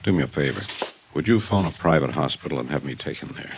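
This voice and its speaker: A man's voice: very deep voice, expressive, quick pace, noisy background. An American man playing a proud, wounded ex-convict in a 1950s radio drama, terse, stoic and sardonic. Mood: strained, insistent. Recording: dull vintage radio recording.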